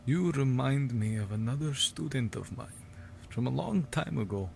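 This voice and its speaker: A man playing a guarded, learned university professor in an audio drama, speaking formally and with measured courtesy. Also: mid-range voice, lively intonation, vocal fry, a little mellow, slight background noise. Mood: curious, guarded. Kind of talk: scripted audio drama acting.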